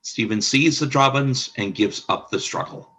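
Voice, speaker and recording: A man reading the stage directions of a fan radio play in an even, descriptive way. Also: mid-range voice, moderate pace, rough recording. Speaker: narrator.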